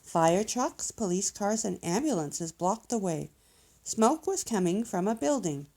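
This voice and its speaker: A woman narrating a children's storybook in an expressive read-aloud style. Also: medium pitch, measured pace. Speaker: storybook reader.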